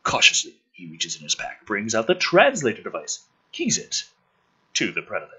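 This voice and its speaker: Man, husky, forceful, lively pitch swings, dramatic narration, sarcastic, sweary. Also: normal speed. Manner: sardonic, emphatic, profane. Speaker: host reading a film script aloud with his own commentary. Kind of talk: dramatic script reading with sarcastic asides.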